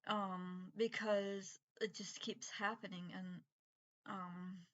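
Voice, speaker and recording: A middle-aged woman with an unusual voice from breathing troubles, rambling in a halting, casual monologue full of fillers and restarts. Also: medium pitch, little pitch movement, fairly bright tone, muffled recording. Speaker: vlogger.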